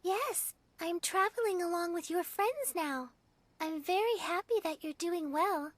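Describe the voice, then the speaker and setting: A woman voicing a gentle, polite young winged maiden in a fantasy game, with a mild manner. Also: very high-pitched, measured pace, dry recording. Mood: gentle, curious. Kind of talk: anime-style fantasy game dialogue.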